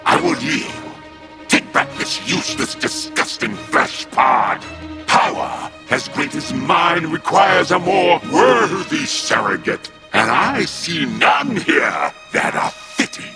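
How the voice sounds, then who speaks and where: A man's voice: a little high, very animated, slow, creaky, exaggerated voice. A man playing a menacing, boastful possessing spirit in a video game, growling with grandiose villainy. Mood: grudging, contemptuous. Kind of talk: video game cutscene acting. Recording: loud music behind the voice.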